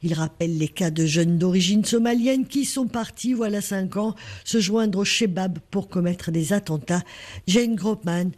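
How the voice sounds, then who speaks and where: A reporter delivers scripted narration for a news story. The voice sits at a middle pitch and follows the written structure of a broadcast report. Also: moderate pace, darkish tone.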